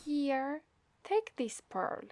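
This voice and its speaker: A woman with an Italian accent voicing a coy, possessive mermaid in a soft, breathy ASMR roleplay. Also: high-pitched, very animated, very slow, heavily acted. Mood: tender, dreamy. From Italy. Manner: coy, teasing, breathy murmurs. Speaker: ASMR voice actress.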